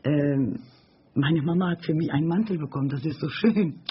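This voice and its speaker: A woman's voice: deep voice, moderate pace, slight background noise. A woman in her forties from Germany, chatting in a studio and telling family anecdotes at length, with lots of hesitation. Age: 40s. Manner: chatty, full of fillers and restarts while telling anecdotes. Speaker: studio guest. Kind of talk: casual storytelling chat. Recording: dull lo-fi radio recording.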